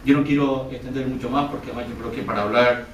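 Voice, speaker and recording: A man's voice: mid-range voice, steady tone, fast. A man giving a formal speech as a panelist presenting a book. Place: event room, distant mic. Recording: very echoey hall, distant mic, faint noise.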